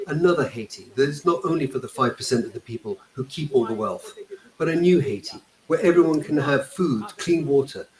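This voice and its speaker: Man speaking fast in an echoey clip. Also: medium pitch, expressive, a crowd in the background.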